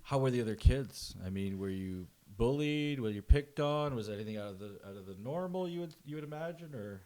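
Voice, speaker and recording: A man's voice: medium pitch, expressive, a little fast, darkish tone. A man hosting a podcast, asking loose, friendly questions in casual chat with plenty of fillers. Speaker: podcast host.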